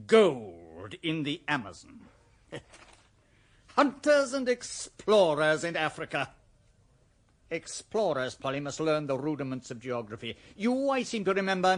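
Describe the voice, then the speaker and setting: An actor playing a pedantic, sarcastic English schoolmaster with a pompous, precise and withering manner in a radio drama. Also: male voice, high voice, a bit bright, heavily acted. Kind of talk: radio drama classroom dialogue.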